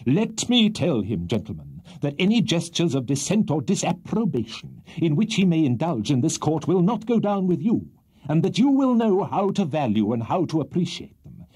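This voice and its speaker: A man's voice: fairly high pitch, sing-song, moderate pace, dark tone, husky, voice pushed up. A man voicing a pompous, bombastic barrister haranguing a jury with theatrical indignation in an audiobook of a Victorian comic novel. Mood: indignant, blustering. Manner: theatrical oratory, indignant and swelling.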